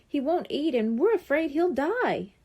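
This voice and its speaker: Woman voicing an eager, high-pitched young boy. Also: big pitch swings, a little slow, darkish tone. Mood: earnest, eager. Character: young boys, earnest, eager grade-school kids. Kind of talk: children's audiobook character voice.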